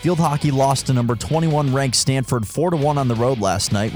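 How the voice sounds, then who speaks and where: A man hosting sports radio, reading updates and wrapping up shows. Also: medium pitch.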